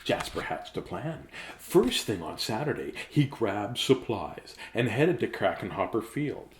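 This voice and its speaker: A man's voice: lowish voice, big pitch swings, a little slow, slight background noise. A man reading a children's bedtime story theatrically, slipping into whispers and repeating words for drama.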